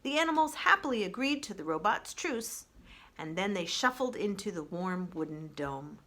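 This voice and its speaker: A woman narrates a children's storybook with expression. Her voice sits at an average pitch for a woman. She reads aloud in the manner of a children's librarian sharing a chapter book. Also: lively intonation, normal speed, pushed and bright.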